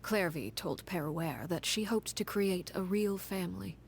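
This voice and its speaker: A cold, composed woman tells a dark tale in a soft, breathy half-whisper. Her voice sits low and stays measured and grave, as in a game cutscene. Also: slight background noise. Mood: grave, calm. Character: cold, composed woman recounting a grim past. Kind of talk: game story cutscene dialogue.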